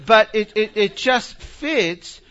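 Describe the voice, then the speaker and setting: A man from Argentina, a visiting preacher teaching informally and taking questions in a halting, animated way that repeats phrases. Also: high voice, clean tone, muffled recording.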